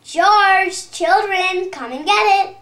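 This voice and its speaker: A woman playing a concerned, gently firm mother in a readers theater story. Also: very high voice, slow, voice pushed up, heavily acted. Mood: calling. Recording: echoey room.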